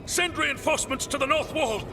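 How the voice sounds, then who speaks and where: An actor playing a deluded, haughty military commander in a video game, imperiously barking orders. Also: male voice, very high voice, fairly quick, gravelly, noisy background. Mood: imperious, delusional. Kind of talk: video game drama acting. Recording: loud game music behind.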